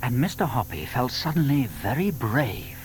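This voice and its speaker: A British man narrating a children's story in an expressive, scripted storytelling style. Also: mid-range voice, normal speed, slight background noise, rough recording.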